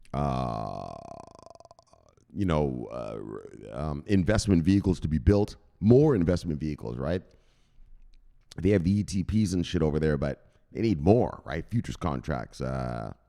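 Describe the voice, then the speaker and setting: A man with a lowish voice hosts a crypto news commentary and rants through the news in a profane, emphatic way. His pitch swings widely as he punches his points. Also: darkish tone. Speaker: crypto news channel host.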